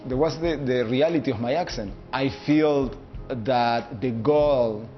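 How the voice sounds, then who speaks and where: A man in his thirties from Uruguay with a Uruguayan Spanish accent, a law student speaking slowly and carefully in a personal video statement, pausing to search for words. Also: medium pitch. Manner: measured and deliberate, searching for words. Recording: muffled lo-fi recording with faint background music.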